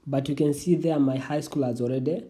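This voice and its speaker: A young adult speaker whose first language is Swahili explains an online form step by step in a casual, halting way. The voice is deep-toned and mellow, sitting at a middle pitch. They restart sentences often and address listeners as family. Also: steady tone. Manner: halting, with frequent restarts, calls viewers "family". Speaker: tutorial vlogger. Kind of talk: casual screen walkthrough of an online application.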